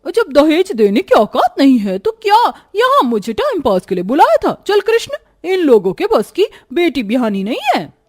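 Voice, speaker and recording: A woman's voice: high-pitched, very animated, normal speed, few pauses, dry recording, studio quality, voice pushed up. Woman acting a haughty, greedy middle-aged mother-in-law type. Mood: angry, scornful. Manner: demanding, scornful, boastful.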